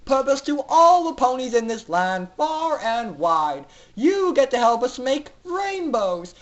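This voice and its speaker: A man voicing a gloating, theatrical villain pony who announces to a crowd in loud, clear, grandiose oratory for a story reading. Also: extremely high pitch, very animated, slow pace, muffled recording, voice pushed up, exaggerated voice. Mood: gleeful, grandiose. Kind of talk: dramatic fan fiction reading.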